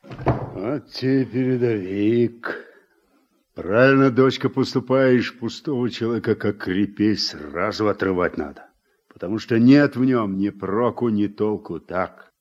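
An actor playing a weary, regretful middle-aged war veteran and farm worker in a radio drama, reflective and plain-spoken. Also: male voice, fairly low pitch, measured pace, darkish tone. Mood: firm. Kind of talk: Soviet radio drama acting. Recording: muffled old radio recording, music behind.

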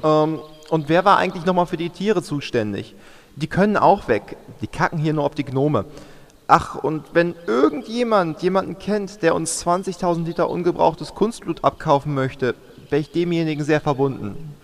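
A man's voice: on the high side, expressive, moderate pace, in a large hall. A man playing a bossy, harried film producer in a German comedy audio drama, announcing with exasperation. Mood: brisk announcing.

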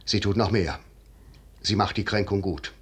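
An actor playing a shrewd, commanding, noble general in a 1950s German radio drama, speaking in measured, deliberate declamation. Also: male voice, fairly low pitch, fairly even intonation, husky. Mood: persuasive.

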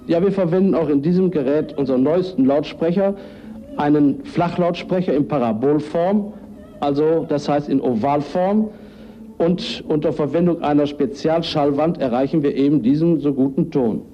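A man's voice: on the high side, normal speed. A man explaining a product in a technical 1950s style, on an old archival recording. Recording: dull archival recording with faint music.

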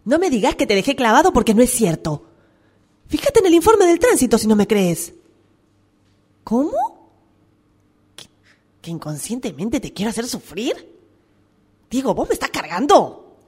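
A woman plays a fed-up girlfriend arguing by phone in a radio play. She has an Argentine accent and a fairly high voice that rises and falls a lot, with a defensive edge. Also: sing-song, normal speed, pauses often, darkish tone, breathy edge. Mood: indignant. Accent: Argentine Spanish. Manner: indignant, argumentative. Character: exasperated, defensive girlfriend quarrelling with her boyfriend by phone. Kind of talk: radio drama acting, one side of a phone call.

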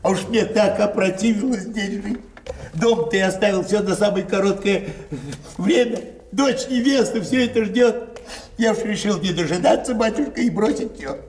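An actor playing a genial, sentimental old gentleman who preaches effusively in a theatrical stage comedy manner. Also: high-pitched, moderate pace. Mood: weary, confiding. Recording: roomy, distant mic, faint noise.

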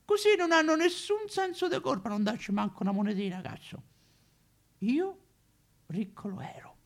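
An actor playing a boozy, cheeky, lonely homeless tramp of fallen nobility, in a rambling, foul-mouthed, singsong stage monologue with a Roman accent. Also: male voice, very high voice, lively intonation, normal speed, creaky, full character voice. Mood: boastful, wistful. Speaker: stage actor in a solo show. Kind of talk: theatrical comic monologue addressed to listeners.

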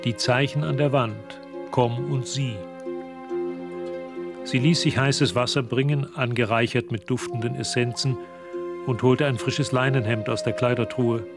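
A composed storyteller voiced by a man narrates a radio drama in a mid-pitched voice. The scripted, literary delivery sits over prominent music. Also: steady tone, a little slow, natural voice.

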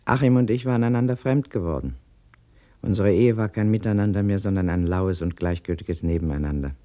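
An actor playing a resigned, disillusioned spouse in a formal radio play monologue. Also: male voice, deep voice, a little fast, warm tone, lightly acted. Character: disillusioned spouse confessing a failed marriage. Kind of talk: scripted confessional monologue.

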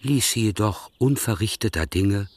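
Husky low-voiced male narrator. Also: animated, moderate pace, no room sound. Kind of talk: scripted radio drama narration.

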